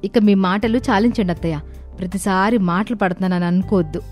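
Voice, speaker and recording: A woman's voice: medium pitch, brisk, a little mellow, close to an everyday voice. A proud young aunt, acted by a woman in a cartoon family story, with an emphatic delivery. Her voice is mid-range for a woman, over light music. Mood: stern, angry. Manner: stern, emphatic, scolding. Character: proud, indignant young aunt, quick to soften.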